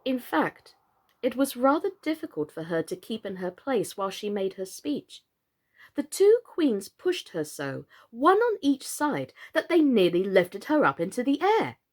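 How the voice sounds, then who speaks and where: Female storyteller, high voice with big swings in pitch, expressive narration for children. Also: measured pace. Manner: theatrical, expressive. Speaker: storyteller, actress.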